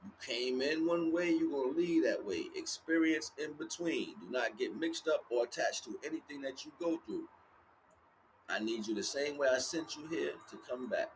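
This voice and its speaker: A man's voice: mid-range voice, lively intonation, normal speed. An American man giving an informal spiritual monologue as a livestream host, speaking emphatically and repetitively in street slang. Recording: clearly noisy, ambient background noise.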